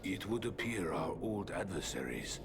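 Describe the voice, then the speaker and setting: A man playing a wise old rat sensei and father in a video game, solemn, formal and measured. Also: low-pitched, animated. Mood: solemn, cautioning. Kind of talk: video game cutscene acting. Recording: loud music behind.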